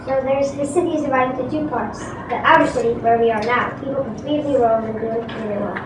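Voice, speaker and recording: A female reader plays a friendly young herder boy with a high, matter-of-fact delivery. It is an amateur stage play in an echoey room, with clear noise behind. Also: fast, far from the mic, lightly acted. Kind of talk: amateur readers theatre performance.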